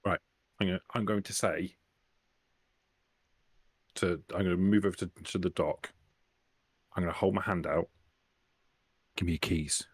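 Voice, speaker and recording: Low-voiced man playing a tabletop game. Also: steady tone, moderate pace, long pauses, breathy edge, no echo. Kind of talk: casual in-game questions and actions.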